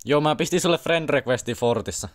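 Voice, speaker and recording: A man's voice: fairly high pitch, natural voice. A man voicing a slangy, casual young human in an amateur cartoon parody dub. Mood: casual, sincere. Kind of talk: amateur comedy fandub.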